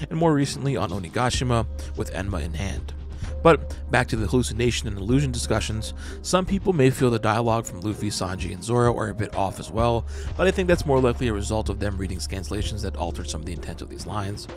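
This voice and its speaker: A man reads a scripted breakdown in an even, analytical tone, as if walking the listener through evidence point by point. His voice sits at an ordinary middle pitch for a man. A clear music bed runs underneath the whole time. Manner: measured, analytical explaining. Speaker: manga analysis channel host. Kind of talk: scripted narration. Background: background music.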